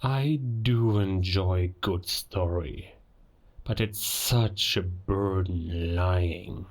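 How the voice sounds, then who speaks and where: Werewolf villain for audio roleplay, male actor: self-styled beast king, low-pitched, very unhurried, grandiose and menacing. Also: exaggerated voice. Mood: grandiose menace. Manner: slow, deliberate, menacing, grandiose. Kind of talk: cinematic audio roleplay monologue.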